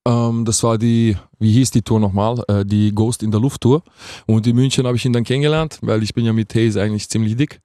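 A man's voice: fairly low pitch, fairly even intonation, a little fast, dark tone, no echo, clean studio sound. A man, chatting casually as a guest in a radio interview. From Vienna, Austria. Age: 20s. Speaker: guest rapper being interviewed.

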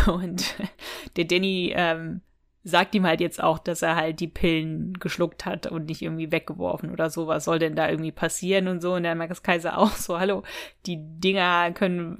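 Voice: medium pitch, level tone, brisk, a bit bright. Casual, colloquial podcast co-host thinking aloud. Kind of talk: casual chat, retelling an audio drama.

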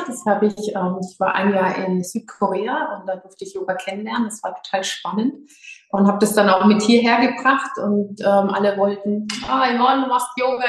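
A yoga teacher from Lower Franconia, talking as a guest in a hesitant, slightly nervous way with many fillers. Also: female voice, a little hiss or hum. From Lower Franconia, Germany. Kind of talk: casual interview. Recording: echoey room.

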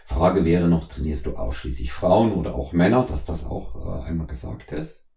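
A middle-aged man from East Germany hosting a conversational podcast interview, speaking in wordy, halting phrases. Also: deep voice, expressive, gravelly, warm tone. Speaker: podcast host. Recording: roomy, echoing voice recording.

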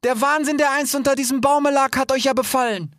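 Squeaky, stammering little animal orator. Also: male voice, steady tone, fairly quick, a bit bright, no room sound, studio quality. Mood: pleading, earnest. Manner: stammering, tags sentences with "ja?".

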